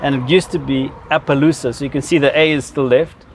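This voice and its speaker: A man's voice: medium pitch, steady tone, quick pace, slight background noise. A man in his fifties with a Zimbabwean accent, telling personal stories in a casual, explanatory way as a vlogger. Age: 50s. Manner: reminiscing, explanatory. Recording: music behind the voice.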